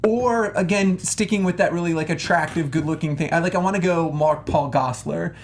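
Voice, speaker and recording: Fast-talking, higher-pitched man bantering. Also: slight background noise. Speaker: panelist on film review show. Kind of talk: casual banter.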